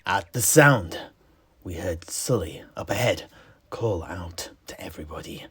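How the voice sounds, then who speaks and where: A man narrating a dark fantasy tale as a storyteller, with hushed, suspenseful and deliberate phrasing. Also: low-pitched, lively intonation. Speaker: host, in-character storyteller. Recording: clear background noise.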